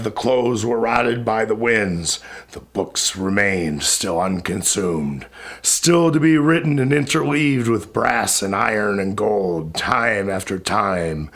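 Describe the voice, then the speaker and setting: A man with a low, creaky voice recites epic verse very slowly. His delivery is solemn and declamatory, swelling suddenly and then sinking into hushed phrases. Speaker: poetry reciter. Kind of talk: dramatic recitation of epic poetry.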